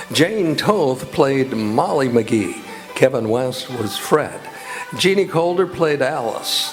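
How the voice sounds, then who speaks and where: An American man announces in the style of old-time radio. His medium-pitched voice delivers scripted credits and sponsor copy over faint music.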